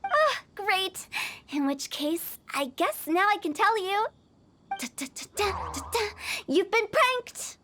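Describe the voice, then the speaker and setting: A woman's voice: extremely high pitch, big pitch swings, slow, sharp tone, a little hiss or hum, full character voice. A woman voicing a playful, teasing young funeral parlor director who speaks with confidence.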